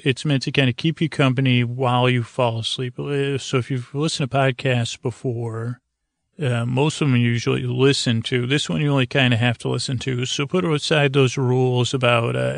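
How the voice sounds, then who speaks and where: A man's voice with plenty of vocal fry, recorded very dry. He hosts a bedtime podcast, rambling along in a soothing monologue. He is whimsical and self-deprecating, often losing his thread and circling back to it. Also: medium pitch, no room sound. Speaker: host of a sleep podcast.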